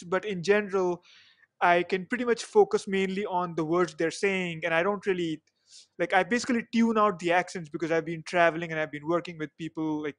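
A man's voice: sits high, a bit bright. A young man hosting a podcast over a video call, chatty and full of "you know" fillers, on a headset mic. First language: not English. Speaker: podcast host. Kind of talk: casual interview chat. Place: small room at home on a video call. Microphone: headset microphone.

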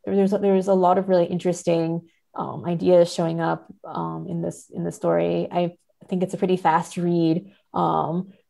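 A woman's voice: fairly low pitch, little pitch movement, moderate pace, gravelly, dark tone. A woman speaking as a scholarly interview guest, explaining ideas in a careful, hedging academic manner. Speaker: podcast guest, literary scholar. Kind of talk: thoughtful academic interview talk.

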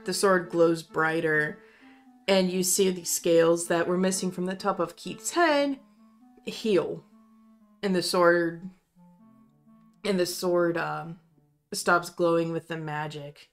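A woman running a tabletop roleplaying game as game master, narrating with slow, dramatic storytelling. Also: on the low side, soft background music.

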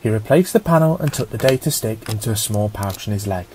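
A man narrating a scripted story as an audiobook reader, with a measured storytelling manner. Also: fairly low pitch, animated, darkish tone, a little hiss or hum.

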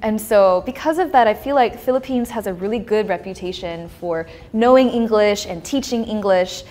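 A woman's voice: medium pitch, moderate pace, a little mellow. An American woman with an American accent, talking thoughtfully and kindly as a guest on a panel. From United States. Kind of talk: casual panel discussion.

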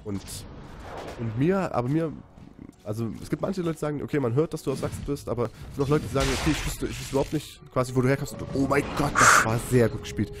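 A man from Saxony doing live commentary on a video game match, chatty and getting excited at big plays. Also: medium pitch, quick pace, noisy background. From Saxony, Germany. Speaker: esports commentator.

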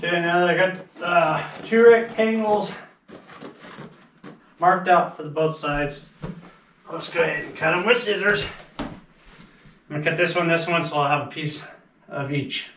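A man with a fairly high voice talks through a hands-on project step by step, in a plain and practical way. He is recorded on a body-worn camera, and faint noise sits behind him. His voice sometimes moves farther from the mic. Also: normal speed, long pauses, a bit bright. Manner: plain step-by-step explaining while working with tools. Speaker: channel host. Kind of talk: casual how-to narration. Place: driveway outdoors, then house kitchen floor. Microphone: body-worn action camera.

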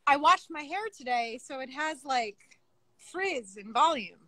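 A woman's voice: high voice, lively intonation, measured pace, sharp tone, slight background noise. A woman in her thirties, a native Russian speaker, chatting wryly as a guest over a phone video call. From former Soviet Union. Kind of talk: casual video-call chat.

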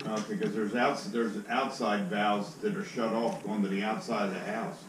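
A man's voice: on the low side, flat delivery, moderate pace. A man chatting casually indoors during a house repair, away from the camera. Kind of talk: casual chat. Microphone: camera across the room. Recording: distant, echoey, noisy room pickup.

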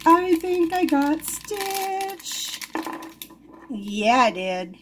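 Slow-talking woman unboxing toys. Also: very high voice, sing-song, slight background noise. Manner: chatty, easily delighted, soft giggles. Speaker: toy unboxing vlogger. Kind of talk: casual unboxing commentary. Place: indoors at a wooden table. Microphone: camera over the table, hands-only.

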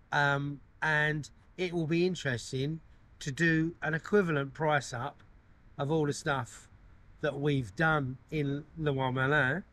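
Chatty middle-aged man, slightly raised pitch. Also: fairly bright tone. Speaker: renovation vlogger. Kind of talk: casual chat to camera.

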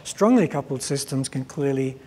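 Husky middle-aged man lecturing in a reverberant hall. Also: medium pitch, expressive, moderate pace, darkish tone. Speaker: keynote speaker. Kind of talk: conference keynote, explanatory lecture. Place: large conference stage, indoors. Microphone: clip-on lapel mic.

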